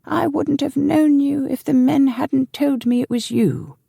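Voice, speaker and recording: A woman's voice: high voice, fairly even intonation, a little slow, vocal fry, warm tone, dry recording, studio quality, pitched above the performer's own voice, full character voice. A woman voicing a devoted, chatty old male servant in a storybook, deferential and easily flustered. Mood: glad, respectful.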